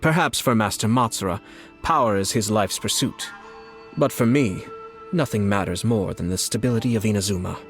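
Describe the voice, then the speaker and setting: A man acting a composed, shrewd young noble clan head in a fantasy game, speaking in a measured, courtly, formal way. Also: fairly low pitch, close to an everyday voice. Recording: background music under the voice.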